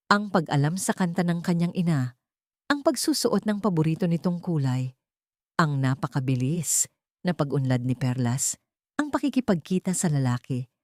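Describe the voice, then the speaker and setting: A woman's voice: sits low, darkish tone, dry recording, studio quality. A woman reading a scripted story as a narrator, in a dramatic storytelling style. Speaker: narrator of audio love stories.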